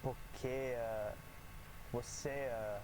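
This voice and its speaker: A man learning a language, talking in a hesitant, halting way and searching for words. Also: medium pitch, steady tone. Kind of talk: casual conversation. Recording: noisy clip from another video.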